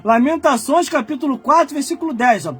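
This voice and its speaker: A man in his forties from Brazil, a pastor preaching a fiery sermon that swings from quiet muttered asides to loud shouted warnings. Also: very high-pitched, fairly quick. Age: 40s. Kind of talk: fiery Pentecostal-style sermon.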